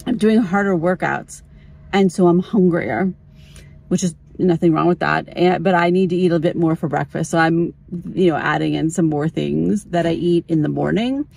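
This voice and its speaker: Creaky low-voiced woman vlogger. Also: normal speed, darkish tone. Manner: informal, candid, thinking aloud. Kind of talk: casual chat.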